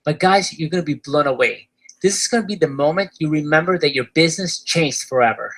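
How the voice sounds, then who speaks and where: A man with an Argentine accent giving an upbeat webinar sales pitch for his online course, often tagging his sentences with "right?". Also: fairly quick, fairly bright tone. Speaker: course seller presenting a webinar.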